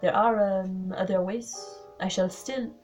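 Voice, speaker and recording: Smug elderly Belgian sleuth, read by a woman, courteous, French phrases, a bit halting. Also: mid-range voice, steady tone, a little mellow, faint music behind, natural voice. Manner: polite, sprinkles French words, halting with fillers. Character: fussy elderly male Belgian detective, smug.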